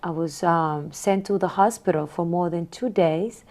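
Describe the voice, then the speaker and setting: Interview guest, woman in her forties from Honduras, lowish voice, reflective and soft-spoken. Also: moderate pace. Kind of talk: interview answers.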